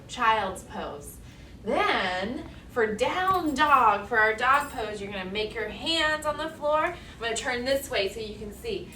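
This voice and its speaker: A woman with a high voice teaches yoga to young children in an encouraging singsong. She takes it very slowly, spelling out each step in simple words. She sounds some way from the mic in an echoey room. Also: a bit bright, slight background noise. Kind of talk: instructing young children through poses, step by step. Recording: echoey room, distant mic, music behind.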